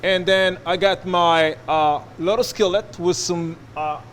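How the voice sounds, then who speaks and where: A man's voice: high-pitched, fairly even intonation, unhurried, fairly bright tone. A man from France with a French accent, speaking as a chef giving a casual cooking demonstration in a kitchen. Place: restaurant-style kitchen. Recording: noisy kitchen ambience.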